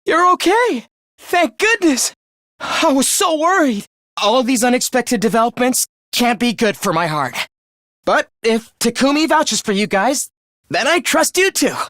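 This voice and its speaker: A man playing an earnest, caring teenage student leader in an anime-style video game, with a youthful, expressive voice and polite delivery. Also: very high-pitched, moderate pace, dry recording, studio quality. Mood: relieved, warm. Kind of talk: animated game voice lines, anime-style dub acting.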